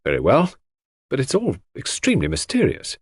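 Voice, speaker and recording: A man voicing an earnest, puzzled gentleman, a detective's trusting friend, with an eager, questioning manner. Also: mid-range voice, huge pitch swings, moderate pace, husky, no room sound, clean studio sound, pitched above the performer's own voice, full character voice. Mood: curious, baffled.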